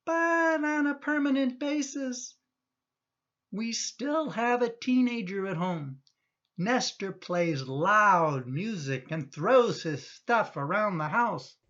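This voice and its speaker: A woman's voice: medium pitch, animated, takes it slowly, fairly bright tone, muffled recording. A woman playing an exasperated, protective middle-aged son in a radio play, indignant and emphatic in manner. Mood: flustered.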